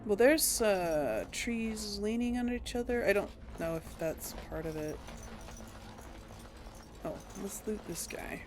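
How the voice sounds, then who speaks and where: Woman let's-play host, lively pitch, unhurried, thinks aloud with chuckles. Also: slight background noise. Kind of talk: casual gameplay commentary.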